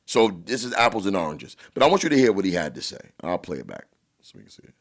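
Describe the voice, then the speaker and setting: Male podcast co-host, New York accent, booming voice, lower register, very lively, blunt delivery. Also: fast talker. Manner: animated, blunt, emphatic, swears freely. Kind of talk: casual podcast chat.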